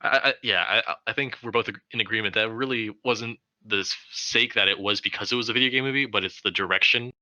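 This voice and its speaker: A man with a very bright, sharp voice, pitched slightly low, co-hosts a casual film chat. He feels his way through sentences haltingly, and the sound is dry. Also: no echo, dull audio. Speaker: film podcast co-host.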